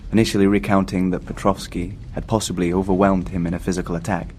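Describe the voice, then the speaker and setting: An actor playing a haunted seafarer recounting a doomed voyage in solemn, scripted first-person horror narration. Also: male voice, low-pitched, little pitch movement, fast talker, a little mellow, a little hiss or hum, close to an everyday voice. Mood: sombre. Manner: solemn, literary storytelling.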